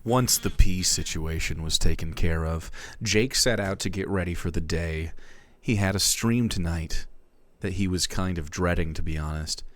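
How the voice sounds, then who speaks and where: A man streaming, reading fan fiction aloud in a theatrical, comic way, shifting between hushed and loud. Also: sits low, moderate pace.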